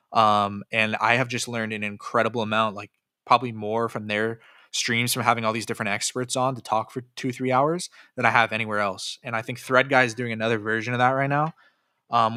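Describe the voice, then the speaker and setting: A man's voice: mid-range voice, sharp tone, no echo, studio quality. A young man livestreaming about crypto, reading out chat comments and answering in a loose, profane way, with lots of fillers and restarts, tired from staying up late.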